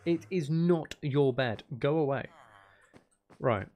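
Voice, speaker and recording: A man's voice: a little high, slow pace, darkish tone, a little hiss or hum. A man giving casual, unscripted gameplay commentary as a video host, thinking aloud and trailing off mid-sentence.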